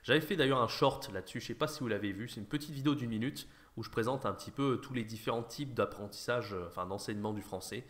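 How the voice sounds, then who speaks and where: Male online host, informal and slightly nasal, rapid casual commentary with a bright, forward tone. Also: mid-range voice. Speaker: YouTube host, online French teacher trainer. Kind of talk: casual chat, reaction commentary.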